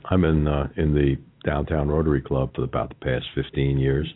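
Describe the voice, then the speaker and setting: A middle-aged or older man with a New York accent, hosting a conversational business interview in a halting, reflective way. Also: extremely low pitch, level tone, slightly rough. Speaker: business talk show host. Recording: muffled, band-limited lo-fi audio.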